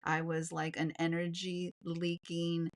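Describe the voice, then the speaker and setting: Woman, 40s, lowish calm voice; earnest live-stream coach who repeats words and restarts. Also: slow pace. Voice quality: calm, fairly even voice. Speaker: business coach.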